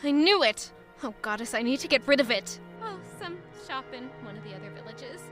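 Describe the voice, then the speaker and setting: A woman's voice: high-pitched, animated, a little fast, a bit bright, soft background music. An actress playing an anxious young traveling woman with a secret in an audio drama, tense, guarded and hesitant. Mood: panicked, fretting.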